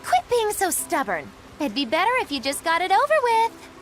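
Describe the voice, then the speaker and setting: Uma atriz interpretando uma bruxa excêntrica e teatral, de tom zombeteiro. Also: female voice, very high voice, sing-song, moderate pace, a bit bright, a little hiss or hum, full character voice. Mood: teasing. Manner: theatrical, mocking. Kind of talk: game dub acting.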